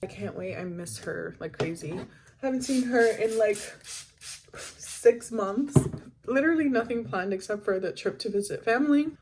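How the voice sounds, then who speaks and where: A woman's voice: medium pitch, moderate pace, a little mellow, a little hiss or hum. A twenty-year-old woman from the Caribbean talking casually and candidly in a personal vlog, rambling and stressing her points. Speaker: vlogger.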